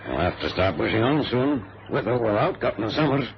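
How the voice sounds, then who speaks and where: A loyal, sensible adventurer in a vintage radio serial. His voice sits low but moves with plenty of variation, and his wording is measured and old-fashioned. The sound is dull and noisy. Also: very animated. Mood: sceptical.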